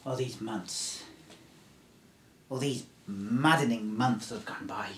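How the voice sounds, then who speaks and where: A man playing a haunted, suspicious lone male survivor in an amateur film scene, speaking in hushed, intense tones that slip into whispers. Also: medium pitch, slow, reverberant, a little hiss or hum, natural voice. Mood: brooding.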